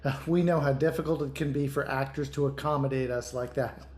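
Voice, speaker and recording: A man's voice: mid-range voice, fairly even intonation, a little mellow, close to an everyday voice. A man playing a self-important theater director in an online play, officious and given to hemming and hawing. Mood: officious, welcoming. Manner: halting, officious, hems and haws. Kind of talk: naturalistic dialogue in an online one-act play. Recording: echoey room on video call.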